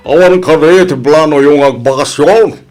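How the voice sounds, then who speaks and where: A man's voice: fairly high pitch, normal speed, music in the background, lightly acted. A man acting in an Ilocano audio drama as a stern, middle-aged father who scolds and lectures his daughter emphatically. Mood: stern, scolding.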